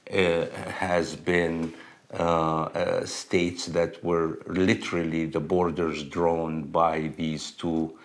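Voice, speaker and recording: Slow, very deep-voiced man. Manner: halting, with many fillers. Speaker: interviewee. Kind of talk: documentary interview.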